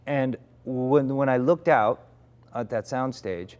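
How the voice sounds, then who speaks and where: A man's voice: mid-range voice, slow, a little mellow, clean tone. A man in his forties, an actor, reflecting candidly in conversation, often restarting his sentences. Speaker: actor, interview guest.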